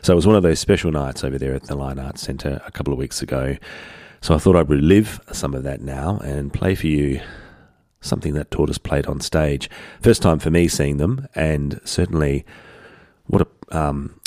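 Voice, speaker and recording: A man hosting a community radio music show, relaxed and rambling with lots of fillers, sounding congested from a head cold. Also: rumbling low, moderate pace, no room sound, studio quality. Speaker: radio host. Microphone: studio broadcast mic.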